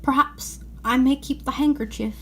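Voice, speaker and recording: A woman's voice: high voice, steady tone, normal speed, fairly bright tone, a little hiss or hum. A woman voicing an anxious, remorseful male faun from a storybook, pleading and confessing dramatically. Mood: apologetic.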